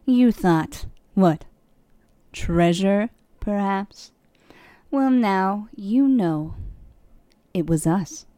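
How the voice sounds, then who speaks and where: A woman voicing a scornful, menacing ancient villainess with a theatrical, mocking, drawn-out delivery. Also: mid-range voice, lively intonation, mellow, clean tone, no room sound. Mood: menacing, gloating. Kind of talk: fantasy villain monologue.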